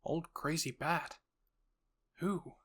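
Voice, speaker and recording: A man voices an anxious young protagonist in a roleplay story, thinking aloud. The delivery is halting and distressed, full of bewilderment and shock. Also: a little high, fairly bright tone, slightly rough, voice pushed up, full character voice. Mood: bewildered.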